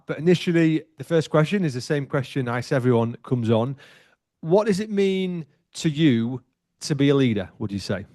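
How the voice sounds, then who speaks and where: A talkative man of forty hosts a podcast, chatting loosely and circling back on his words. His voice sits at an ordinary middle pitch. The sound is dry and close, with no room to it. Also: a little mellow, dry recording. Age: 40s. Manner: chatty, loose with many restarts and fillers. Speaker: podcast host. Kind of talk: casual interview chat.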